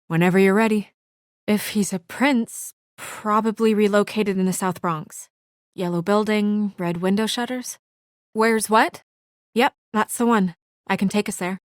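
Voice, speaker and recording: A woman's voice: medium pitch, fairly even intonation, unhurried, dry recording, clean studio sound, natural voice. A woman voicing an anxious, businesslike young female official in a video game, speaking in an earnest, guarded way with naturalistic delivery.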